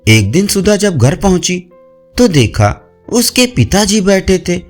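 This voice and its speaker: A man narrating a scripted audio story with measured, expressive storytelling delivery. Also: high-pitched. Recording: music bed behind voice. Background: background music.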